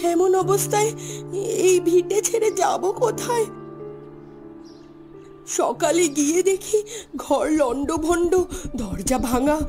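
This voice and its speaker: A woman playing a frightened, pleading female villager in an animated horror story, with a plaintive, drawn-out delivery. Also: very high-pitched.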